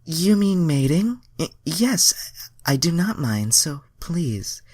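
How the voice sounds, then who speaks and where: A man voicing an earnest, naive young alien woman in falsetto, with stilted formal phrasing and a Southern US twang. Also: big pitch swings, takes it slowly, darkish tone, no echo, clean studio sound, exaggerated voice. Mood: hesitant, curious.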